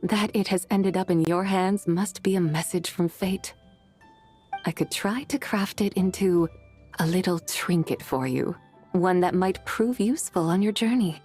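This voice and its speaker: A woman's voice: lowish voice, measured pace, darkish tone, natural voice. A woman voicing a gracious, wise ancient immortal in a video game, speaking formally and with poise. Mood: gently musing. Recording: background music.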